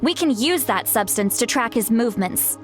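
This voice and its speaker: A woman acts out an elderly female shaman from a video game who sounds surprisingly young. The voice sits fairly high, self-assured and breezy, with a fussy grandmotherly streak. Faint music runs behind. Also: expressive, moderate pace, close to an everyday voice. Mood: confident, instructive. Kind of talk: video game character acting.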